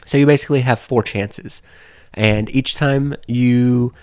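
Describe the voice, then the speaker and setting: Hoarse man casually reviewing a game. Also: medium pitch, fairly even intonation, measured pace, a little mellow, dry recording. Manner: rambling, loose and conversational. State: hoarse from allergies, losing his voice. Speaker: game video host.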